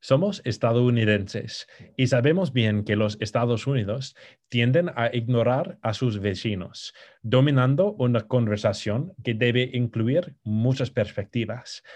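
A man in his twenties with an American accent, co-hosting a podcast in Spanish as a second language, pausing often with fillers and restarts. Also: medium pitch, darkish tone. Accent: American English. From United States. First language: English. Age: 20s. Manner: hesitant, many fillers and restarts. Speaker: podcast co-host. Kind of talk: casual podcast introduction.

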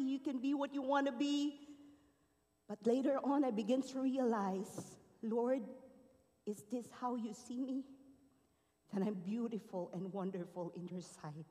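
A woman's voice: high-pitched, normal speed, mellow. A woman from the Philippines giving an earnest, emotional personal testimony to a church congregation. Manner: earnest, emotional storytelling with rising and falling emphasis. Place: large church auditorium. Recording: long hall reverb.

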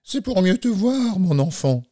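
A man voicing a storybook wolf who poses as a frail, tired old grandmother, in falsetto with feigned weary sweetness. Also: very animated, measured pace, mellow, dry recording, studio quality, exaggerated voice. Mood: sugary, sly. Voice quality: high falsetto.

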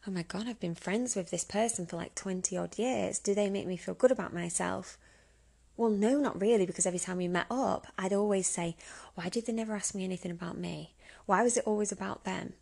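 A woman's voice: medium pitch, vocal fry, a little mellow. A woman of about thirty giving informal advice on her own as a podcast host, candid and chatty, often posing rhetorical questions. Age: around 30. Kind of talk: informal solo advice talk.

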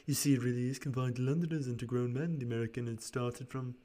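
A man's voice: medium pitch, steady tone, fast talker, warm tone, lightly acted. A man voicing an eager, persuasive Victorian shop assistant from a storybook, explaining in an emphatic, coaxing way.

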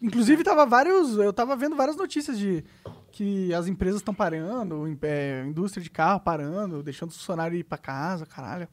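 A man's voice: high voice, a little fast. A man hosting a casual podcast chat, talking in slang and throwing out follow-up questions. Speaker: podcast host.

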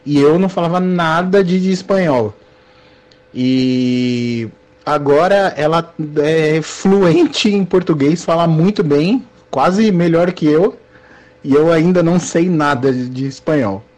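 A man's voice: on the high side, slow, darkish tone. A man hosting a casual conversation, friendly and self-deprecating, with rambling asides. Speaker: host, interviewer.

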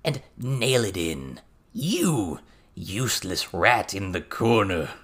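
Crude little goblin tutor for an audiobook, male narrator acting, excitable, theatrical, swinging widely in pitch. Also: slow, heavily acted. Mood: instructive, emphatic.

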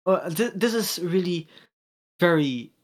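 A teenage boy co-hosting a podcast over a video call, excitable, swearing and restarting his sentences. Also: sits high, measured pace. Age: teens. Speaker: podcast co-host. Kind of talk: casual chat.

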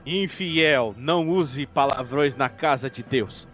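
A man's voice: mid-range voice, takes it slowly, fairly bright tone, faint music behind. A man playing a stern, righteous detective in a tabletop game, speaking with contempt and menace. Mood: righteous anger. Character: stern, righteous male detective scolding a culprit.

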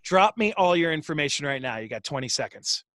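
A man hosting a show, talking casually and telling a story in a chatty, animated way. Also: a little high, brisk, no room sound, studio quality. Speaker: show host. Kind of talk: casual video call interview.

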